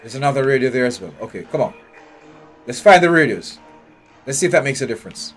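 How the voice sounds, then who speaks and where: A man's voice: medium pitch, expressive, fast talker. Blunt, profane male game streamer. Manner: blunt, profane, thinking aloud; nitpicking, questioning everything. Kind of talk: live gameplay commentary. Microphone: headphones with a desk mic. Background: faint game music.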